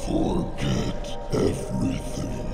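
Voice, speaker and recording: An actor playing a menacing, devouring alien hive mind that gives drawn-out commands in a game cutscene. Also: male voice, close to an everyday voice. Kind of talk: dramatic game cutscene acting. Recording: loud music behind the voice.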